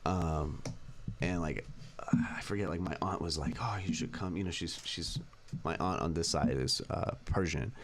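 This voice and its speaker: A young man, a rapper, talking in a casual interview with a deep voice and a laid-back, slangy, candid manner. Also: moderate pace, slight background noise. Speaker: rapper guest.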